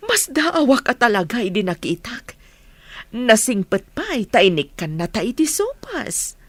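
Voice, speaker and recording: A woman's voice: fairly high pitch, big pitch swings, measured pace, dry recording. A woman with an Ilocano accent playing a kindly, approving mother in a radio comedy drama. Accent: Ilocano Filipino.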